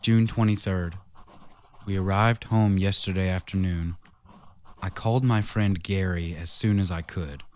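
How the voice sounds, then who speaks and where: An actor playing a weary, haunted husband in a radio drama, speaking and narrating his journal in a grave, deliberate way. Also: low voice, fairly even intonation, darkish tone. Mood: grave, reflective.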